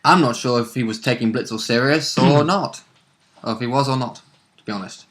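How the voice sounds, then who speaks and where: A man's voice: mid-range voice, brisk, fairly bright tone. A man co-hosting a tabletop game recap in casual chat, chipping in with reactions. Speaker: co-host.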